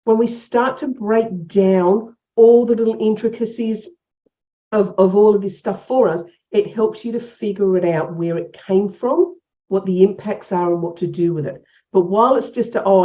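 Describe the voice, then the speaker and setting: A woman with an Australian accent leading an online workshop as a coach, energetic and encouraging, asking many questions. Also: mid-range voice, muffled recording. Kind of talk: interactive online workshop teaching. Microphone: video call.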